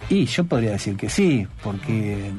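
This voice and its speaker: A man's voice: lowish voice, sing-song, moderate pace, darkish tone, faint music behind. Rioplatense man explaining on radio. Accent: Rioplatense Spanish. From Uruguay. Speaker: radio guest. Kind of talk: radio interview.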